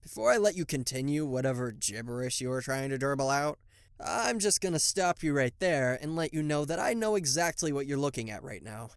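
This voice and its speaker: A man's voice: mid-range voice, expressive, a little fast, clear, resonant tone, dry recording, studio quality, close to an everyday voice. A man playing a cocky, easily flustered king of hell in an ASMR roleplay, sarcastic, indignant and theatrical, speaking to the listener.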